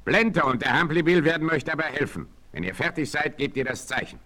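An actor playing a bold frontier hunter and leader, declamatory and decisive, in a 1960s audio drama. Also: male voice, a little high, sing-song, brisk, a crowd in the background. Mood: resolute, commanding.

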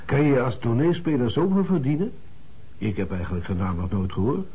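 An actor playing a dry, probing homicide detective in a radio play, casual and wry, with muttered asides. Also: male voice, mid-range voice, very animated, fairly quick, warm tone, slightly rough, low-quality recording. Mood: curious, wry.

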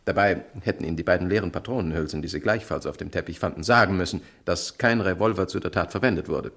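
An actor playing a smug male criminal in a 1960 radio crime drama, condescending, amused and deliberate. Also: low-pitched, fairly bright tone. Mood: smug, instructive. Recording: vintage 1960 radio recording.

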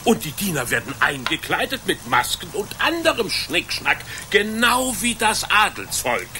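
An actor playing a simple, good-natured, baffled medieval blacksmith in a German radio play, hesitant and folksy. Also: male voice, high-pitched, big pitch swings, moderate pace, bright, forceful tone, slight background noise, heavily acted. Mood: bemused.

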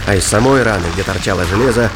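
A man's voice: fairly low pitch, quick pace, music in the background. A man narrating scripted science fiction thriller in a dramatic audiobook style.

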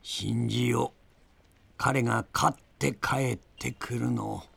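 An actor playing a calm, trusting old man, a seasoned leader in an audio drama, with a gravelly voice. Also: medium pitch, slow pace, heavily acted. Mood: resigned, trusting. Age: older. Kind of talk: Japanese anime-style audio drama acting.

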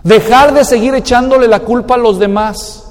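Man, preacher, high voice, emphatic sermon, long reverb of a hall. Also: fairly even intonation, moderate pace. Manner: emphatic, acts out excuses in a mocking tone.

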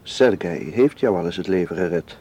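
An actor in a Dutch radio play, playing a devoted, calmly persuasive man who keeps secrets. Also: sits low, moderate pace, breathy edge. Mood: reassuring. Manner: calm, gently insistent.

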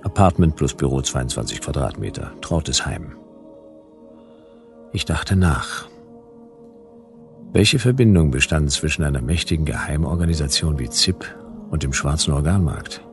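A deep-voiced private eye narrates a sci-fi radio play. He is dry, wry and laconic in a hard-boiled way. Faint music sits behind him. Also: animated, a little slow, long pauses, heavily acted. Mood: wry, matter-of-fact. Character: laconic, wry male private detective narrating his case.

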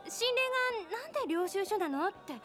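Very high-voiced chatty young woman. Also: lively intonation, fairly quick, fairly bright tone, soft background music. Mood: excited gossip. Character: flighty, nosy young woman hooked on eavesdropping. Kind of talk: radio drama acting, casual conversational Japanese.

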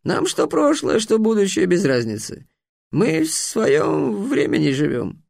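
A man's voice: high voice, wildly swooping pitch, unhurried, creaky, a little mellow, dry recording, studio quality, pitched above the performer's own voice, full character voice. A man voicing a boastful, sly young male genie with a wheedling, tricky manner that turns to squealing when he is scared.

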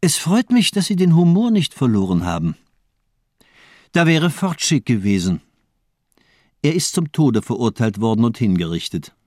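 A man's voice: medium pitch, sing-song, normal speed, pauses often, darkish tone, dry recording, clean studio sound. An actor playing a sinister, cultivated doctor in a radio crime drama, eloquent and mockingly polite as he toys with his captive. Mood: gloating, mocking.

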